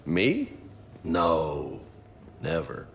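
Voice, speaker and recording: Game role, relaxed young male soldier, teasing streak, very deep casual voice, reverberant with background noise. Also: creaky, exaggerated voice. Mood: teasing, wistful. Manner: casual, unhurried. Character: laid-back young male soldier, teasing, homesick beach boy. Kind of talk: video game dialogue acting.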